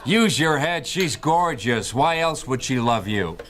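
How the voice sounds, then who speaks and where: An actor playing a shrewd, blunt secret agent in a radio drama, with a glib, needling way of talking. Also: male voice, medium pitch, expressive, measured pace, lightly acted. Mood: blunt, insistent.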